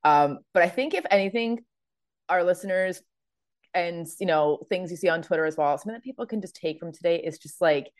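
A woman hosting a casual sports podcast, her voice hoarse and raspy from screaming, chatty with many fillers and laughs. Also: lowish voice, brisk, no echo, clean studio sound. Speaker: podcast host. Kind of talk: casual chat.

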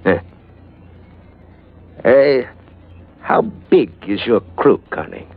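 An actor playing a swaggering, sly pirate captain with a Spanish accent and broken English, in 1940s radio drama. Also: male voice, mid-range voice, very animated, extremely slow, soft background music, heavily acted. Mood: amused, sly. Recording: muffled vintage radio recording.

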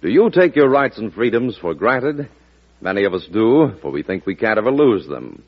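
A man's voice: lowish voice, sing-song, normal speed. A man with an American accent reading a scripted vintage radio public-service announcement in an earnest, declamatory way. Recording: dull old radio recording.

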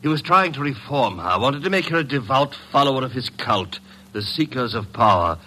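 A man's voice: medium pitch, lively intonation, brisk, pushed and bright. A man playing a self-important, easily flustered stage actor in an old-time radio drama, with affected, florid delivery. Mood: indignant. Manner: affected, florid, hesitant under pressure. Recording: noisy old radio recording.

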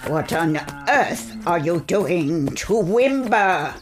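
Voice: mid-range voice, sing-song, takes it slowly, music in the background. An actor playing a bemused, scolding forest dweller with an incredulous manner, in a comedic fantasy audio drama.

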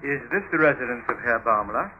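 A man's voice: medium pitch, lively intonation, fairly quick, dull audio, close to an everyday voice. An actor playing a grandiloquent policeman in old-time radio comedy-drama, with a declamatory, portentous delivery. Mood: brisk, official. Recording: noisy old radio recording.

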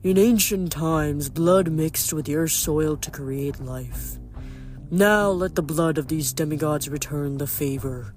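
A woman voicing a huge old male giant, speaking deep and slow in solemn prayer. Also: a little mellow, faint music behind.

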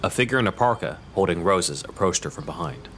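A man narrating an audio drama in a steady storytelling delivery. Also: sits low, brisk, creaky, a little hiss or hum.

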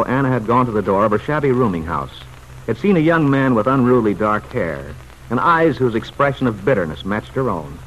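Expressive male old-time radio narrator. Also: mid-range voice, poor audio. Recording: old radio recording with faint noise.

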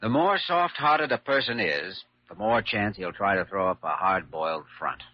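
Low-voiced earnest doctor, old radio. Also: male voice, lively intonation, normal speed. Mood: calm, wry. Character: earnest, compassionate, determined young male hospital doctor. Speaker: actor in a radio drama. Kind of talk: classic radio drama acting. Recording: muffled, humming old radio recording.

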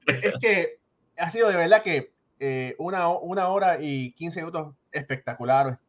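A man's voice: fairly high pitch, lively intonation, moderate pace, lo-fi sound. A man hosting a casual sports interview, effusive and often restarting his sentences. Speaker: podcast host.

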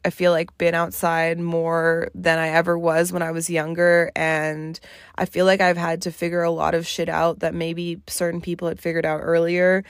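A woman's voice: lowish voice, monotone, normal speed, clean tone, dry recording, studio quality. A woman in her late twenties hosting her own podcast, talking alone in a candid, blunt way, swearing freely and saying "like" often. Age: late 20s. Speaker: podcast host. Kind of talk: solo podcast monologue.

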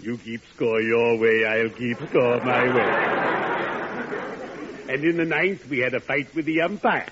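Loud hiss and a muffled old radio sound surround a man acting a genteel undertaker. He speaks slowly and formally, with grand, mournful theatricality. The delivery belongs to 1940s radio comedy. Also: medium pitch, fairly even intonation, over a noisy crowd, close to an everyday voice. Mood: genteel, morbid pride. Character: friendly, morbidly genteel undertaker, solemn and theatrical. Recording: noisy, dull old radio recording.

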